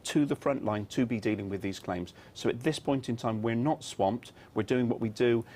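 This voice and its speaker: A British man answering as an executive in a news interview, measured and firmly reassuring. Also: mid-range voice, mellow. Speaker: insurance executive, interview guest.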